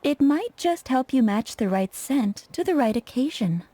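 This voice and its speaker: A flirtatious perfumer, high mellow voice. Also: female voice, expressive, normal speed. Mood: poised, instructive. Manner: coquettish, instructive, poised. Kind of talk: English video game voice acting.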